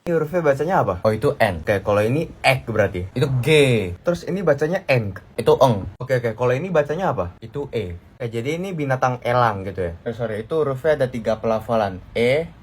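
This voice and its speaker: A man's voice: medium pitch, animated, fast, hardly any pauses, slightly rough. A man in a casual chat, puzzled and asking how letters are read.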